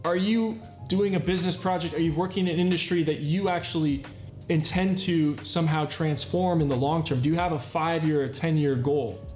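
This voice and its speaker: A man's voice: on the high side, darkish tone. A man in his thirties with a somewhat raised voice speaks earnestly and explains step by step, stacking up phrases like a list. The room is echoey, and faint music plays underneath. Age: 30s. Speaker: channel host. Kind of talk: self-improvement talk. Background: faint background music.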